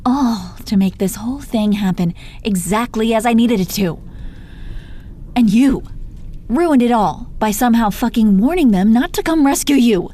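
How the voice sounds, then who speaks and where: A woman's voice: fairly high pitch, lively intonation, normal speed, warm tone. A woman playing a gloating, menacing female supervillain in a roleplay audio, condescending, sardonic and exasperated, with heavy swearing. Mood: exasperated boasting, then menacing anger. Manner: taunting, condescending pet names, heavy swearing. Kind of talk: dramatic roleplay audio monologue.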